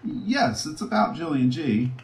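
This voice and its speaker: A man's voice: on the high side, big pitch swings, a little slow. A man playing a foul-mouthed, paranoid, scheming scientist in a theatrical sci-fi audio drama, talking with gloating menace. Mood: urgent, scheming. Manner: muttering to himself, theatrical, swinging between glee and panic. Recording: roomy echo with background noise.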